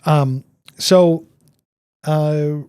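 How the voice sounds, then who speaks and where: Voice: medium pitch, steady tone, drawn-out words, clear, resonant tone, no echo. A podcast host asking questions in a chatty way, laughing and restarting sentences. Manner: chatty, warm-hearted, laughs, trailing restarts. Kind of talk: interview questions.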